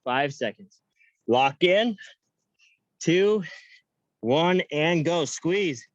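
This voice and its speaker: A young man coaching a workout class, counting reps and giving upbeat cues with joking asides in a high-pitched voice. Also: lively intonation, drawn-out words, no room sound, muffled recording. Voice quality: self-described high-pitched voice. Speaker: fitness coach. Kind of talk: guiding an online workout class.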